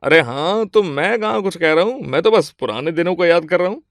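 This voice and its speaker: A man's voice: a little high, lively intonation, fairly quick, fairly bright tone, no echo, voice pushed up. A man voicing an easygoing, friendly adult son in a moral story, light and good-natured. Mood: teasing. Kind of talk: Hindi moral-story character acting.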